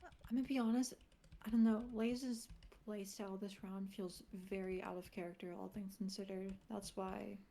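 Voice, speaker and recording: A woman's voice: medium pitch, steady tone, moderate pace, creaky, warm tone. A woman chatting casually and swearing as a player in a group online game. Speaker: player in a social deduction game. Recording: faint noise, keyboard and mouse clicks.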